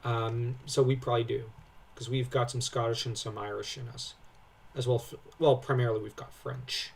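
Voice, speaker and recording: A man's voice: medium pitch, flat delivery, normal speed, creaky, slightly rough, distant mic. A young man co-hosting a casual lore breakdown, talking in an enthusiastic, rambling way full of tangents. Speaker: co-host commentator.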